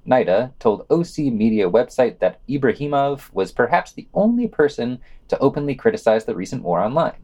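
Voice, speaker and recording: Animated man reading podcast news. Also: mid-range voice. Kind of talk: scripted news reading with casual sardonic asides.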